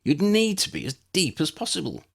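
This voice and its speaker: A man voicing an earnest, quick-thinking young woman in an audiobook. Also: a little high, sing-song, creaky, fairly bright tone, breathy edge, voice pushed up, full character voice. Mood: keen, explaining. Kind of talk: audiobook character voice.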